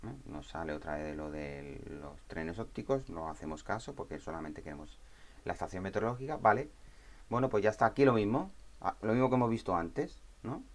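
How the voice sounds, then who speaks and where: A man presenting an informal step-by-step software tutorial in a conversational way, often checking understanding with short tag questions. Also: deep voice, lively intonation, brisk.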